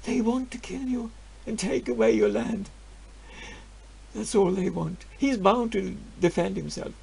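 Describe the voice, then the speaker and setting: A man voicing a frightened peasant wife, pleading urgently and bitterly in a hushed voice. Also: high voice, sing-song, unhurried, gravelly, slightly rough, full character voice.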